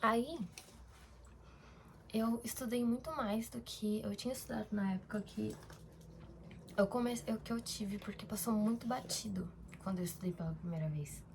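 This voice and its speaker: A woman's voice: medium pitch, normal speed, gravelly, slight background noise. A young woman from Brazil talking casually in a diary-style vlog, rambling, with fillers and self-corrections. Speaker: vlogger.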